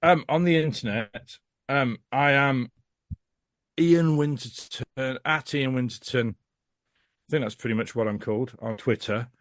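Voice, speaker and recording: A man's voice: medium pitch, unhurried, no room sound, studio quality. A man chatting casually and bluntly as an opinionated podcast guest. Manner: blunt, sweary.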